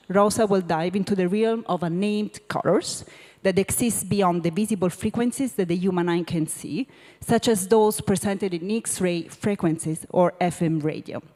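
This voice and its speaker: A woman moderating a panel, reading out a formal introduction of a speaker in a measured way. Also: on the low side, darkish tone. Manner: measured, read-aloud delivery. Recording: reverberant lecture hall.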